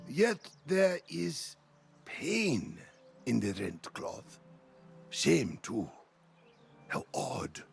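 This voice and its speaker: Aged cryptic hermit for a fantasy game: gravelly, halting, haunted, widely swinging pitch. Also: male voice, huge pitch swings, soft background music, full character voice. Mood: haunted, wondering. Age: older. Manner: halting, brooding, rambling. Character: cryptic, haunted old hermit fisherman, muttering riddles. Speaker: video game character. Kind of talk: dramatic game cutscene acting.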